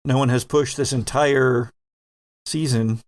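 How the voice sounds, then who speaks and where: A man narrating a sci-fi horror tabletop game as host and game master, with measured, dramatic storytelling. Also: medium pitch, steady tone.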